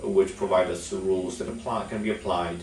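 A young man from Poland, giving a measured instructional talk to camera as a business executive, with many "uh" fillers. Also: low-pitched, fairly even intonation. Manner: frequent "uh" fillers, measured delivery. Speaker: chamber of commerce executive. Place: office with logo backdrop. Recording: echoey room, mic at a distance.

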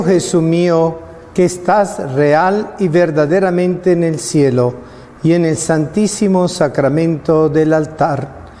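A middle-aged man, a priest, slowly and solemnly reciting the prayers that close a Mass in a small chapel. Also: a little high, mellow, long reverb tail. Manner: slow, solemn liturgical recitation.

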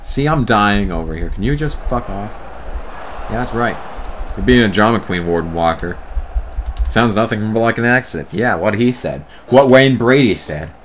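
A man doing a let's play commentary, exasperated, swearing and yelling at the game. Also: lowish voice, lively intonation, moderate pace, a little hiss or hum. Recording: commentary over loud game music. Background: game music.

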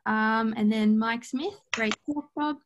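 Higher-pitched woman moderating a webinar. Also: takes it slowly, lo-fi sound, background noise. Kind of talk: reading out audience questions and comments. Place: indoors on a video call.

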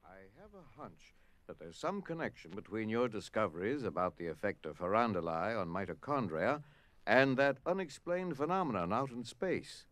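A man's voice: fairly low pitch, lively intonation, moderate pace, fairly bright tone, dry recording. A man playing a thoughtful scientist father in an audio drama. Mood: pondering.

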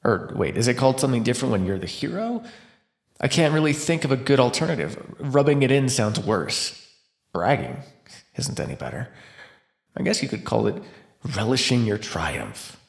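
Man acting a snarky, creaky-voiced captive vampire. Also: mid-range voice, sing-song, normal speed. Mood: sarcastic, needling. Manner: sarcastic, taunting, flippant. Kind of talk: ASMR roleplay monologue. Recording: roomy echo.

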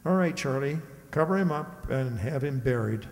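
A man's voice: medium pitch, lively intonation, normal speed, lightly acted. An older man plays a matter-of-fact police inspector in an old-time radio mystery. His mid-range voice explains things steadily and brushes off fears. The hall echoes around him. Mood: brisk, dismissive. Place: stage in a community hall. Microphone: stand microphone on stage. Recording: echoey hall, live stage performance.

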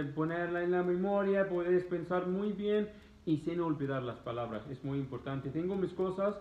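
Higher-voiced Egyptian man in an echoey room. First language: Arabic. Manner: emphatic, repeats himself, halting foreign-speaker phrasing. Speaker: vlogger answering students' questions. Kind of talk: casual explanatory talk to camera. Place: living room, indoors. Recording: roomy echo, dull muffled sound.

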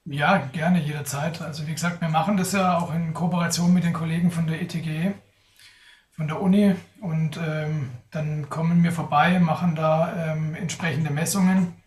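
A man's voice: on the high side, steady tone, moderate pace, a little mellow, recorded from a distance. A young man answering audience questions on a live video call, thoughtful, with many hesitations. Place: indoors in front of a sponsor backdrop. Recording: roomy, off-mic sound.

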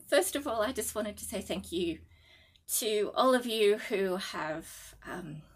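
A woman's voice: fairly high pitch, a bit bright. A middle-aged Australian woman chatting to camera from her living room, giggly and a little nervous, with lots of fillers and restarts. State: sleep-deprived and a little nervous. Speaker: needlework designer and vlogger. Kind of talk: casual chat to camera.

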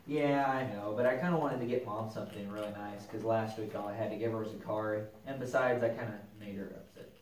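A man's voice: on the low side, steady tone, brisk, few pauses, a little mellow, close to an everyday voice. A man voicing a mischievous, stubborn schoolboy puppet in a children's church show, with a casual, scheming, exaggerated delivery. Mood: casual, sheepish. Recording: very echoey, distant mic, noisy.

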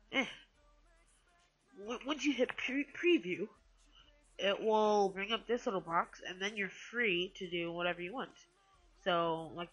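A woman hosting a casual software tutorial in a hesitant, rambling way, often correcting herself. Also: fairly low pitch, low-quality recording. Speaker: tutorial host.

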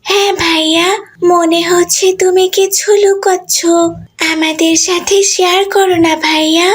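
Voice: very high voice, flat delivery, normal speed, a bit bright. A performer voicing a sweet, curious little girl in a cartoon, pleading and inquisitive. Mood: concerned, coaxing.

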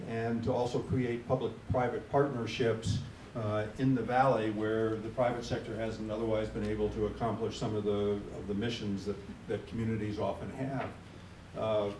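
A man on a conference panel explaining his fund's work in a measured, explanatory way. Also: darkish tone. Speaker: panelist, nonprofit fund representative. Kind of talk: unscripted panel talk. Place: conference room. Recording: echoey room, distant mic, faint rumble.

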